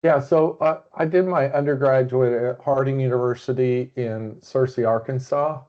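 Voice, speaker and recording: A man's voice: mid-range voice, fairly even intonation, normal speed. A middle-aged man from Oklahoma and Wyoming, answering conversationally as a professor on a webinar panel. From Oklahoma and Wyoming, United States. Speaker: associate professor of optometry, webinar guest. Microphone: online video call. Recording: dull, lo-fi online call.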